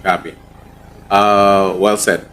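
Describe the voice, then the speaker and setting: A man's voice: fairly low pitch, level tone, slow pace, fairly bright tone, slight background noise. A man moderating a virtual panel, affirming and conversational on a video call.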